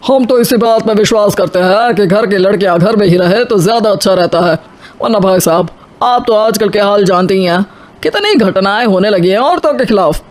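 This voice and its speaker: A woman's voice: medium pitch, a little fast, natural voice. A woman voicing a stern, conservative middle-aged father of a groom, self-assured, in a moral story.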